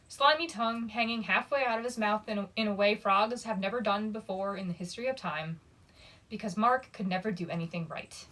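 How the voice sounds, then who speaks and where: A woman reading a young adult fantasy novel aloud as a storyteller, with expressive delivery and emphatic asides. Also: a little high, poor audio. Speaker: bookshop story reader.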